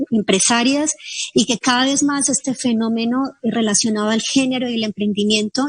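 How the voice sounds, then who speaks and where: A woman's voice: a little high, dry recording, poor audio. A woman with an Ecuadorian Spanish accent, a guest explaining figures at length in an interview. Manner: fluent, explanatory, cites figures. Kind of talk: interview answer.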